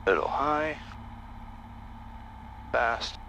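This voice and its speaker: Man, private pilot, bright forceful voice, lively swings in pitch, short self-coaching phrases, casual cockpit commentary. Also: extremely slow, creaky. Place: small aircraft cockpit in flight. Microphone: aviation headset. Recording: steady engine noise in cockpit. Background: aircraft engine drone.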